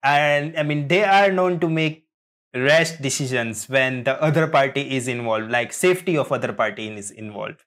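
A man hosting a reaction video, giving rambling, halting, casual commentary as he theorizes like a fan. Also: mid-range voice, fairly bright tone. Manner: rambling, halting, chuckling often.

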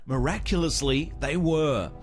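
An Australian man narrates a scripted film report in a fairly high male voice. Clear music plays behind him. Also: measured pace.

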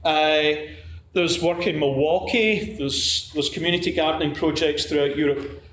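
A middle-aged man from Scotland with a Glasgow accent, giving an academic conference talk at a podium, measured and full of "uh" fillers and restarts. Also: fairly high pitch, a bit bright. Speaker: conference presenter. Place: lecture room at a podium, standing. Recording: echoey lecture hall, faint room hiss.

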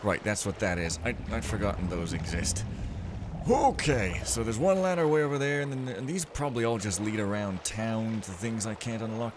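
A man's voice: on the low side, normal speed, noisy background. A man giving casual, rambling commentary while playing a stealth game, full of sarcastic jokes and asides. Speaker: let's play commentator.

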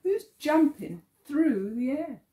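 Slow, very animated woman teacher reading aloud. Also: high-pitched, a little hiss or hum. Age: middle-aged. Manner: expressive, deliberate, questioning delivery for small children. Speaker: early years teacher. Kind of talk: picture-book read-aloud to young children. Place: living room at home, indoors.

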